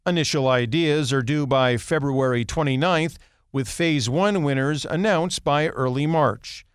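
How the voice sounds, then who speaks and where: A man's voice: a little high, fairly bright tone, smooth, dry recording, studio quality. A man with an American accent reading scripted news as an anchor.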